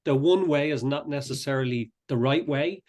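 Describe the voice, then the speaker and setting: A man's voice: on the high side, moderate pace, a little mellow. A man hosting a podcast interview in a conversational way, with wordy, wandering questions and comments.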